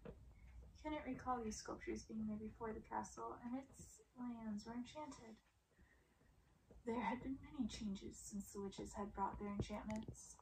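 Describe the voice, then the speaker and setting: A woman reading a story as ASMR in a hushed, deliberate way, often slipping into a soft whisper. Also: fairly high pitch, steady tone, poor audio. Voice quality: soft, breathy whisper at times. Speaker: ASMR reader.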